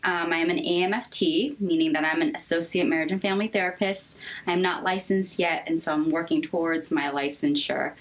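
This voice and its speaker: A young woman from Seattle, a therapist answering interview questions earnestly, with frequent ums and pauses. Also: lowish voice. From Seattle, Washington. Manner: hesitant, many ums, earnest. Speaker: guest therapist.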